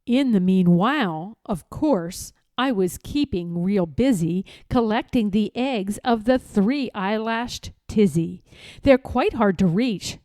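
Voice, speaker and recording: A woman reads a rhyming children's story in a mellow, softly toned voice. Her delivery is playful and emphatic, swinging widely up and down in pitch. The recording is close and dry. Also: no room sound. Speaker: storyteller reading aloud. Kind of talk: expressive read-aloud of a rhyming children's storybook.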